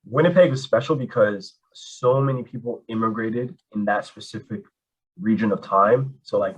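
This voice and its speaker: Man, husky, low-pitched, slangy storyteller, dull lo-fi sound. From Canada. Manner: casual, animated storytelling with slang. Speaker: guest. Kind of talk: candid conversation.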